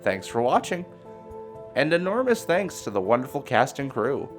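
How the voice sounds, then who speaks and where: A man's voice: mid-range voice, big pitch swings, normal speed, soft background music. A man reading a scripted outro as the announcer of an audio series.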